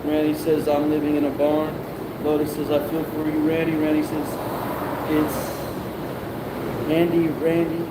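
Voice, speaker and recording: A man hosting a casual live stream, reading viewer comments aloud in a relaxed, offhand way. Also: fairly high pitch, unhurried. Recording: echoey workshop with loud background noise.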